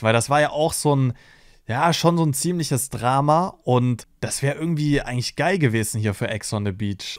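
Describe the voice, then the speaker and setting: A man's voice: a little high, dry recording, studio quality. A young man casually commenting on a reality show for his viewers, chatty and talking to them as friends, at a desk microphone at home. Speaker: reaction streamer. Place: home streaming room. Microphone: desk microphone on arm.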